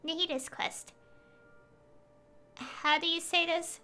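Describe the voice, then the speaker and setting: A woman's voice: very high-pitched, fairly even intonation, slow pace, sharp tone. A woman streamer casually chatting and giggling through gameplay, with hesitant fillers. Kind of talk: casual gameplay commentary and reading dialogue. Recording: streamed with game music faintly behind.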